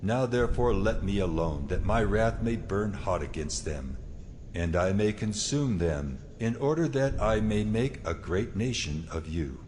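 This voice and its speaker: Deep, cavernous, wrathful God. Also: male voice, lively intonation, a little slow, darkish tone. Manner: solemn, declamatory, weighty delivery. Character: God, ancient mighty male deity, wrathful and commanding. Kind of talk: dramatized scripture audio acting. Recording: long cavernous reverb, noisy ambience.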